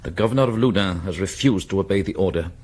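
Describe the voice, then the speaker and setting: A British man playing a cold, calculating cardinal in a radio drama, measured, commanding and quietly menacing. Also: deep voice, a little hiss or hum, natural voice.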